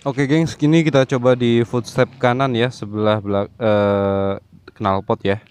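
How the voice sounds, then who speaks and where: A man's voice: mid-range voice, steady tone, unhurried, a little mellow, clean tone. A man, talking casually to his viewers outdoors by his motorcycle, walking them through each step. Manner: friendly, addresses viewers as gang, step-by-step. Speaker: motovlogger. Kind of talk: casual vlog talk to viewers.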